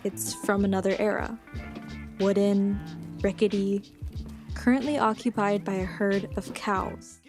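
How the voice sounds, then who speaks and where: A bewildered woman, played by an actress, narrates her own strange story in dramatic present tense. Her pitch is in the middle, with music clearly behind the radio monologue. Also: steady tone, unhurried, natural voice. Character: bewildered woman recounting a time slip.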